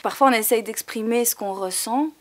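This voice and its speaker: A woman's voice: a little high, a little fast. A woman in an interview, speaking thoughtfully and hesitantly while searching for words. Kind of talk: reflective interview.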